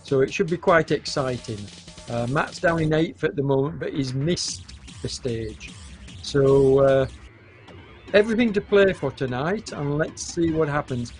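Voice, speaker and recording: A man with a cold commentating a live esports cycling race, hesitant and full of fillers, with a hoarse voice and occasional coughs. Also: a little high, lively intonation, faint music behind. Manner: hesitant, many fillers, unhurried.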